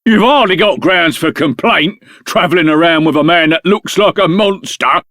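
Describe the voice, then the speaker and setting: An actor playing an officious, insulting gate guard in a video game, haughty and scolding. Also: male voice, a little high, moderate pace, fairly bright tone, dry recording, clean studio sound, natural voice. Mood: contemptuous. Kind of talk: video game character acting.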